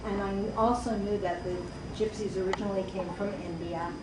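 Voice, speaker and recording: A woman's voice: mid-range voice, fairly even intonation, fairly quick. A woman musician in the audience speaking in a hesitant, thoughtful way. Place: bookstore event room. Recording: echoey room, distant mic, background noise.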